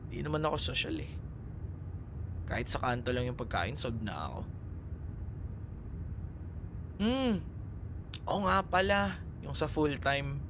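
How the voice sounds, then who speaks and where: Man acting as a young male ex-boyfriend, easygoing, teasing, lively intonation, casual roleplay audio, noticeable car background noise. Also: mid-range voice, normal speed, long pauses, lightly acted. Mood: casual, chatty. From Philippines. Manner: casual, teasing, conversational with frequent chuckles. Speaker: voice actor. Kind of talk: ASMR boyfriend roleplay, casual one-sided conversation.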